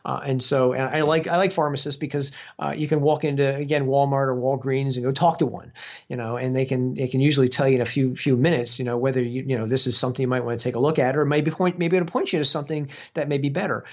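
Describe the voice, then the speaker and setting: A man's voice: mid-range voice, fairly even intonation, fast. A man, a guest exercise physiologist, explaining at length in casual chat with many fillers and restarts. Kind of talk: casual interview over a call. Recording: dull lo-fi sound.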